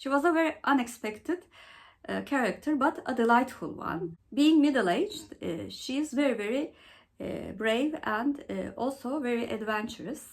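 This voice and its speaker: A woman presenting a book vlog as host, going through questions in a halting way with frequent mid-phrase pauses. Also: high voice. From Turkey.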